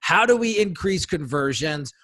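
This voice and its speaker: A man's voice: on the high side, lively intonation, normal speed, a bit bright, dry recording. A man hosting a casual podcast interview, enthusiastic and prone to repeating himself, with the odd swear. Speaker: podcast host.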